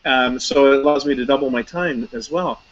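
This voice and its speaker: A man's voice: medium pitch, a little fast, muffled recording. A man talking casually as an interview guest, chatty and anecdotal, with many fillers and restarts. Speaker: hypnotist, guest.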